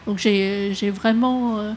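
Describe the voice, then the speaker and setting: A woman writer whose first language is Vietnamese, reading her text aloud and then answering questions in a soft, hesitant way with many halting restarts. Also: mid-range voice, steady tone, a little hiss or hum. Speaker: author. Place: public talk with an audience.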